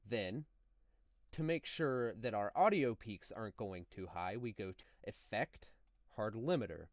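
A man narrating a step-by-step software tutorial in a deliberate, instructional manner, as a close, dry voice-over. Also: lowish voice, no echo. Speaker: tutorial host.

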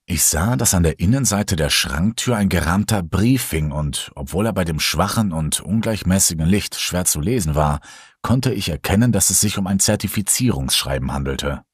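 A man narrates a scripted horror audiobook in the first person. His voice is very low and husky. The recording is close and dry, with hardly any room. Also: expressive, normal speed, dry recording.

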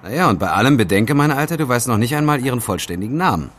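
Doubting student pal, animated, argues casually, medium pitch, radio play. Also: male voice, quick pace. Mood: skeptical. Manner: colloquial, insistent. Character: young male student, doubting, argumentative best friend. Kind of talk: German audio drama acting.

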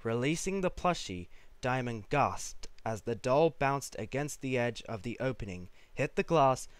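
A man's voice: mid-range voice, animated, measured pace, clean tone, dry recording. A man reading a scripted story aloud as its narrator. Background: music.

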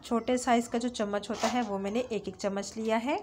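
A woman's voice: a little high, fairly even intonation, a little fast, a little mellow, a little hiss or hum. A woman, narrating a home cooking recipe as a channel host, in a friendly, instructive way with small fillers. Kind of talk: step-by-step cooking voice-over. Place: home kitchen.

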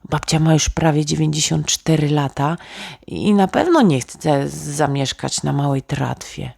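A woman's voice: low-pitched, lively intonation, unhurried, creaky, a little mellow, husky, dry recording, studio quality, exaggerated voice. A woman voicing a dreamy, imaginative little boy who muses aloud in a bedtime storybook. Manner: musing, pondering aloud with hums.

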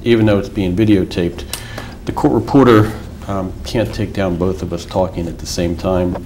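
A man questioning a witness as an attorney in a formal deposition, measured with filler pauses. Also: sits low, fairly even intonation, a little mellow, a little hiss or hum.

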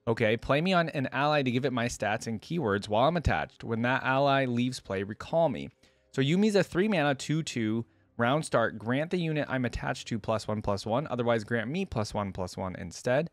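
A man's voice: medium pitch, expressive, moderate pace, no room sound. A young man giving casual reaction commentary into a close desk mic, often reading text aloud. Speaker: reaction video host. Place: indoor gaming setup. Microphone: desk microphone close to the speaker. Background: game video music.

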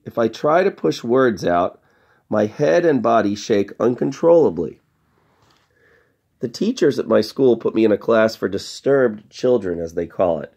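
A man narrates a children's storybook with lively, swooping intonation. His voice has a gravelly creak, and he moves deliberately from phrase to phrase, leaving dramatic pauses. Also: medium pitch. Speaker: story reader. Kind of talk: storybook read-aloud narration.

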